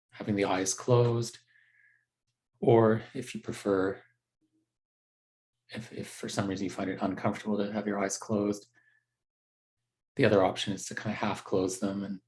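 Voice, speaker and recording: A man teaching a guided meditation in a gentle, unhurried, conversational way with halting pauses. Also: lowish voice.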